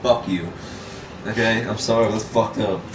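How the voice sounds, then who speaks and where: A man's voice: on the low side, fairly even intonation, moderate pace, background noise. A young man bantering casually and crudely with a friend in a small bedroom, recorded by a camera a short way off. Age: young adult. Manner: crude, profane, teasing. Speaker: vlogger. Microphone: webcam or console camera a short way off.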